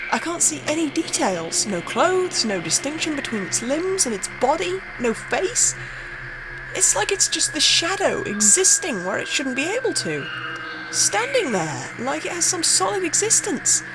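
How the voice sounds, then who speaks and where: A woman's voice: sits high, low-quality recording. Woman narrating horror over music. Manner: suspenseful, acted-out delivery. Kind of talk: dramatic first-person horror story reading.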